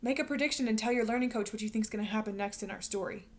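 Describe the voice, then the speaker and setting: A woman teacher reading a picture book aloud to young children, expressive and instructional, pausing to put questions to them. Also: a little high. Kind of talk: animated read-aloud storytelling with questions to young learners.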